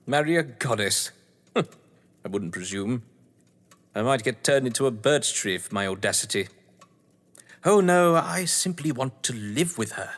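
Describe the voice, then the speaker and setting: A man's voice: mid-range voice, expressive, measured pace, pauses often, a bit bright. Guy acting as smug scheming english novelist, wry and pompous, voice swoops about, big echoey room, audio drama. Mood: playful bravado. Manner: pompous, wry, florid phrasing. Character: suave, scheming, condescending older English crime novelist, betrayed husband. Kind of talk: theatrical audio drama dialogue.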